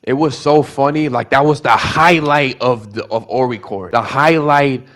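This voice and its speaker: A young man from the Bronx with a Bronx New York accent and Spanish as his first language, telling a rambling, animated story in a casual vlog, full of restarts and repeated words. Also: mid-range voice, normal speed. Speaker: vlogger.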